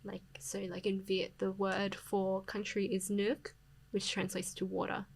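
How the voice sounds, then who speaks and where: A young Australian woman, a poet, talking reflectively as a podcast guest, with plenty of fillers and soft laughs. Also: mid-range voice, completely flat delivery, a little slow, creaky, breathy edge. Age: young adult. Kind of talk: casual interview conversation.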